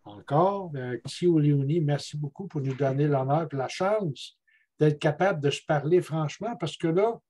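Older Quebec French man on a video call, slightly high, mellow, rambling. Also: moderate pace. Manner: rambling, repeats words while searching for them. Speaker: guest speaker, traditional elder. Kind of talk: casual talk at a videoconference. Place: room at home with bookshelves. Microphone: earbuds on a video call.

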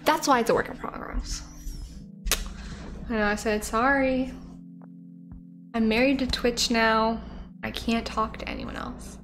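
A young woman chats casually from her living-room couch, her voice sitting a touch high. She rambles from one story to the next with lots of likes and ums, speaking close into a studio mic. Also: fairly even intonation, measured pace, pauses often, fairly bright tone, faint music behind. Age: young adult. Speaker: streamer. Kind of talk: casual chat. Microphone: studio mic on a stand.

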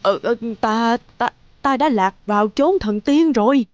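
An actress voicing a timid, curious and secretly greedy middle-aged nursemaid in a cartoon fairy tale, hesitant and muttering to herself. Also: female voice, a little high, measured pace, no room sound, close to an everyday voice. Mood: amazed. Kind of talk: animated fairy-tale dubbing. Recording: background music under the voice.

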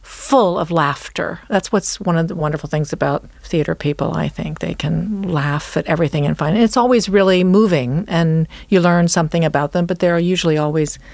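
A woman's voice: lowish voice, fairly quick, creaky, hardly any pauses, darkish tone, no echo. A woman theater actor chatting casually on a panel.

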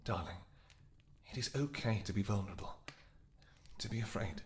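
A man voicing a protective royal vampire husband in a scripted audio roleplay, soft-spoken and affectionate, with hesitant pauses. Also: fairly low pitch, lively intonation, slight background noise, natural voice. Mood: tender.

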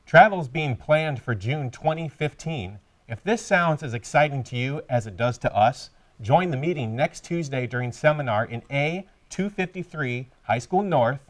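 A man's voice: medium pitch, expressive, moderate pace, hardly any pauses, recorded from a distance. A man co-anchoring a student news show, reading school announcements in a studio. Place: studio with curtain backdrop.

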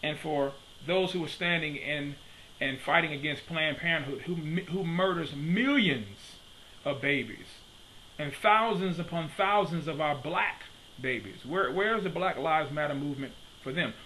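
A man in his thirties from Detroit delivers a preaching-style commentary straight into a desk webcam in his home study. His voice sits a little high and rings bright and forceful. He repeats his questions for emphasis, and a faint background hiss stays under the talk. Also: poor audio. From Detroit, USA.

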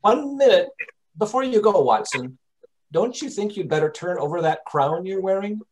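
A man playing a shrewd, composed English gentleman detective in old-time radio mystery style, formal and precise. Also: sits high, animated, moderate pace, a little hiss or hum, poor audio. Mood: composed, pointed.

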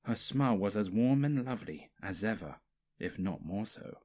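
A man's voice: on the low side, expressive, moderate pace, darkish tone. A man reading a story aloud as a scripted narrator. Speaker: fanfiction narrator.

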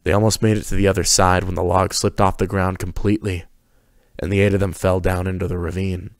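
A man's voice: deep voice, flat delivery, brisk, dry recording, studio quality. A man reading scripted fiction aloud as the narrator of a dramatic story.

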